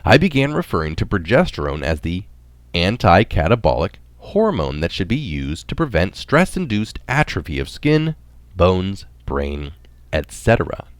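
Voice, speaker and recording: A man's voice: low voice, dry recording. A man reading a written article aloud in the manner of a narrator. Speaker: reader of recorded articles.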